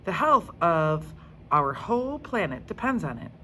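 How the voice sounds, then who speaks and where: An earnest schoolteacher read by a woman addresses a class in a children's storybook. The delivery is instructive and encouraging, slow and very melodic. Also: lowish voice, very animated, sharp tone, a little hiss or hum, full character voice. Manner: instructive, emphatic.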